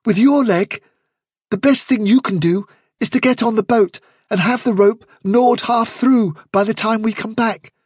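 A man voicing a small male rabbit in an audiobook, gently firm and practical as he gives counsel. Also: high-pitched, a little slow, slightly rough, no room sound, clean studio sound, pitched above the performer's own voice. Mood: firm advice.

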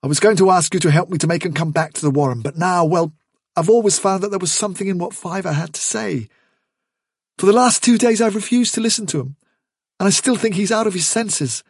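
A protective storybook rabbit acted by a man, reasonable and earnest. The voice sits high and a little husky, with an urgent, conciliatory manner. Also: brisk, no room sound, studio quality. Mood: earnest pleading. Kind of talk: storybook character dialogue.

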